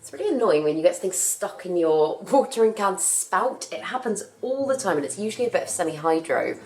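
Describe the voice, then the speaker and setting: A young woman with a husky voice from a cough, chatting casually about her plants as she works. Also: lowish voice, animated, a little fast, darkish tone, rough recording. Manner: chatty, rambling, self-correcting. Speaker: plant care vlogger. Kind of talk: casual chat while working.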